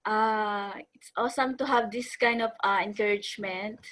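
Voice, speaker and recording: A woman's voice: mid-range voice, steady tone, moderate pace, a bit bright. A woman who works as an accountant and leads a church ministry, speaking on a video call in a hesitant way with many fillers. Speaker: accountant, singles ministry leader. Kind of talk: casual video call sharing.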